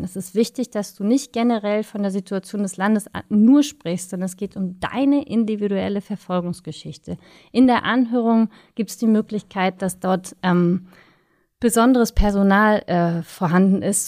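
A woman's voice: medium pitch, darkish tone, dry recording, studio quality. A woman speaking as an expert guest in an interview, explaining things in an engaged, detailed way.

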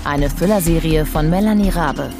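A woman's voice: deep voice, moderate pace, over music. A woman announcer reading a scripted podcast outro and cast credits.

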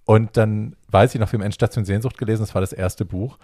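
A young adult man telling a personal story in casual chat, acting out the dialogue with lively energy. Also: on the low side, fast, dry recording, clean studio sound.

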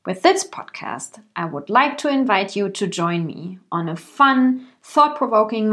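A woman's voice: medium pitch, fairly bright tone. German-accented woman hosting a podcast. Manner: careful, measured, clearly enunciated English. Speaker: podcast host and English coach. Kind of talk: solo podcast monologue.

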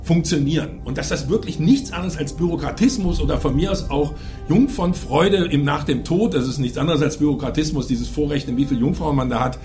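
A man's voice: on the high side, a little fast, darkish tone, faint music behind. A man giving opinionated film criticism in an emphatic, insistent, argumentative way. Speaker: film critic on a review show.

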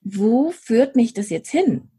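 Husky-voiced woman chatting about books. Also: on the high side, animated, slow, dark tone. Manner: hesitant, many fillers and restarts. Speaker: podcast co-host. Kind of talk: casual book chat.

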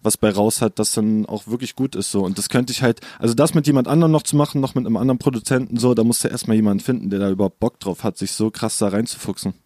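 Young guy rattling on fast in slang about making beats, dry close sound, says digga constantly. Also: on the low side, mellow, no echo. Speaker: rapper and producer. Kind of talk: casual interview chat.

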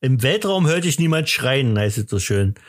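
A man's voice: medium pitch, very animated, normal speed. A man in his fifties from eastern Germany hosting a podcast, chatting in a casual, rambling, jokey way and poking fun at himself. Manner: jokey, self-deprecating. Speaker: podcast host.

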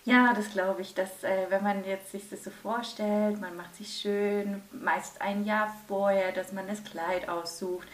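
A woman's voice: medium pitch, fairly even intonation, a little slow, few pauses, distant mic. A woman hosting a wedding podcast in casual chat, gushing and laughing often as she asks her guest questions. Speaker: podcast host and wedding speaker. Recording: roomy echo with faint music.

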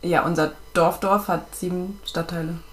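A woman's voice: lowish voice, steady tone, normal speed, faint music behind. Chatty woman co-host. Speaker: podcast co-host. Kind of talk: casual podcast chat.

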